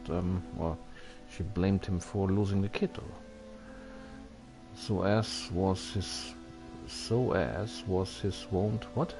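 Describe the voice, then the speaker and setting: A man doing casual gameplay commentary, halting as he thinks aloud and reads on-screen text. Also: lowish voice. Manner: halting, musing, puzzled asides. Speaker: gaming video host. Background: game music.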